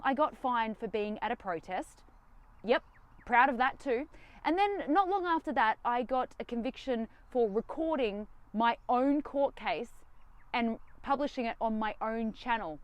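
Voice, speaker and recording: A young woman vlogging from inside her van, defiant and sarcastic as she talks, her words jumping up with lively emphasis. Her voice sits a little high for a woman. The recording is dry and close, with no room sound. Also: dry recording. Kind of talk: casual direct-to-camera talk.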